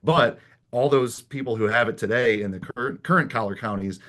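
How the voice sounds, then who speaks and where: A man who is a guest on a political panel podcast, calmly explaining the details of a bill. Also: medium pitch, normal speed. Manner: analytical, explains policy detail.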